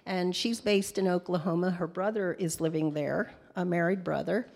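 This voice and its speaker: A middle-aged or older woman speaks informally to a church congregation in a halting, unscripted way. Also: on the low side, steady tone, gravelly, a little mellow. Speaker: church member introducing a guest speaker. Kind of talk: informal spoken introduction and prayer. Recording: echoey hall with long reverb.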